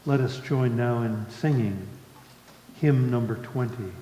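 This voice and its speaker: A man leading worship in a solemn sermon style, speaking deliberately in short phrases with long pauses. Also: medium pitch, mellow. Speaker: worship leader. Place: large congregation hall. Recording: echoey reverberant hall with background noise.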